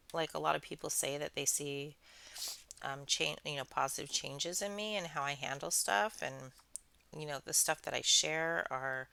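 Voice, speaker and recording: A woman hosting a craft channel, chatting casually in a soothing, soft-spoken, rambling way. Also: low-pitched, creaky, smooth, no echo. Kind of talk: casual chat while crafting.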